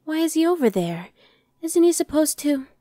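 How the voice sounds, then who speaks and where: A woman's voice: high-pitched, big pitch swings, moderate pace, mellow, no echo. A woman playing a casual, dry-witted female demon scout in an ASMR roleplay audio drama. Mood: surprised. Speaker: voice actor.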